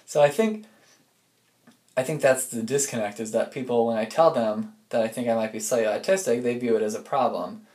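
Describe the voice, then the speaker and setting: A man records a casual personal audio log in an echoey room. His voice sits at an average male pitch. He talks informally and reflectively, wandering through his thoughts as he goes. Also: a little fast, a little mellow. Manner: informal, reflective, loses and regains his thread. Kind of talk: casual rambling personal monologue.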